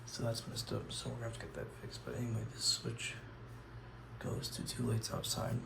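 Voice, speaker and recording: A man with a cold gives a rambling home tour, his voice gravelly and raspy. His pitch stays flat and he keeps apologizing, often sinking to a near whisper. It sounds like a phone recording with clear noise behind him. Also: brisk. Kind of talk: casual home tour vlog. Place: inside a house, moving from room to room.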